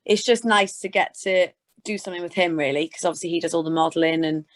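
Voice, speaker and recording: A woman's voice: on the low side, steady tone, quick pace. Chatty British woman in casual interview. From Scotland and Gloucestershire, England. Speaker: interview guest, sports presenter.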